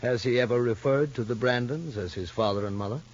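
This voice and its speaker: An actor playing a formal child psychologist in a radio soap opera, probing gently and deliberately. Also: male voice, medium pitch, natural voice. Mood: calm, probing. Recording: muffled old radio recording.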